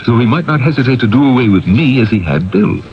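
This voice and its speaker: A man's voice: mid-range voice, sing-song, fast talker, muffled recording, natural voice. An actor playing an uneasy man, a worried witness, in a 1970s radio drama. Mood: fearful, grave. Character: uneasy man, a witness fearing for his life. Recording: band-limited 1970s radio broadcast.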